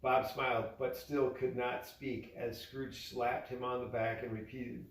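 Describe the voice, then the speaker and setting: A man's voice: mid-range voice, level tone, measured pace. Man reading a storybook in an echoey room. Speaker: father reading a story aloud to his child. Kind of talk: storybook narration. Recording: echoey room, distant from mic.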